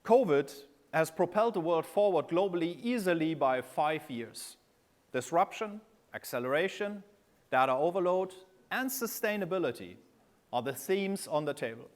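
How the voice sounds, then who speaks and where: A man gives a scripted corporate address as a guest speaker. His voice sits fairly high and moves a lot, and he stresses key terms one after another. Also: expressive, normal speed. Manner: emphatic, lists keywords with stress.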